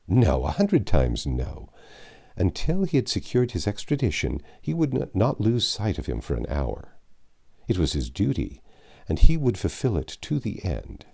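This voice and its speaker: A man narrating a classic adventure novel aloud, with measured, dramatic phrasing and some drawn-out words. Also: low voice, huge pitch swings, gravelly, dark tone, slightly rough. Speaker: audiobook narrator.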